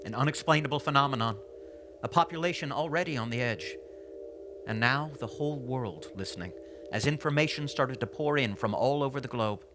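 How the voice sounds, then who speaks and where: An actor playing a somber narrator, delivering scripted, dramatic and deliberate recollections of catastrophe. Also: male voice, mid-range voice, background noise, close to an everyday voice. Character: somber male documentary narrator recalling catastrophe. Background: music.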